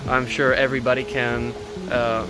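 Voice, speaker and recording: A man from Poland speaking slowly and haltingly about his time abroad. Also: mid-range voice, little pitch movement, very noisy. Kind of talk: casual testimonial.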